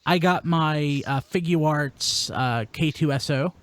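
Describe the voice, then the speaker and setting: A man hosting a casual podcast, chatting in an animated, exclamatory way. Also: on the high side, takes it slowly. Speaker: podcast host. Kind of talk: casual toy-news podcast chat.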